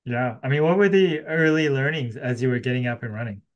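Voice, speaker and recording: Male podcast host, average pitch, casual interviewing style. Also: brisk, muffled recording.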